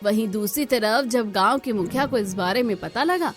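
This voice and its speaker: A woman's voice: fairly high pitch, moderate pace, faint music behind. A woman narrating a scripted cartoon story as the storyteller.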